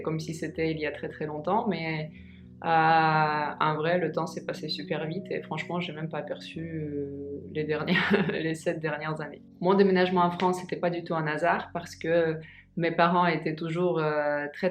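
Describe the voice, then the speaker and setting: A woman in her twenties whose first language is Russian, talking in a casual vlog and pausing often with fillers and restarts. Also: low voice, flat delivery, faint music behind. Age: 20s. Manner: hesitant, many pauses and fillers. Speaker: vlogger. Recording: echoey room.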